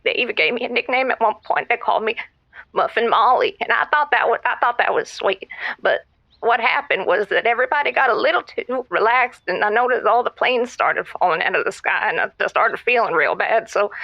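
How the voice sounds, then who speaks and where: Oblivious female radio caller; earnest rambling confession, dull phone-filtered sound, no room echo. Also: medium pitch. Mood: sincere, confessional. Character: earnest, oblivious woman calling a radio show. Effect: radio phone-line filter. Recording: muffled phone call-in line.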